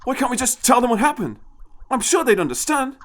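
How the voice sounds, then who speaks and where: A man's voice: very high voice, sing-song, fairly quick, a bit bright, voice pushed up, full character voice. A man voicing an earnest, naive and blunt young companion in an audio story. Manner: earnest, tactless.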